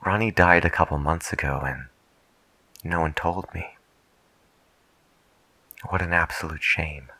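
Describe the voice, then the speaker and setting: A man speaking softly and half-whispering in an unhurried, musing way, as a late-night radio DJ in an ASMR roleplay. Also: sits low, dry recording.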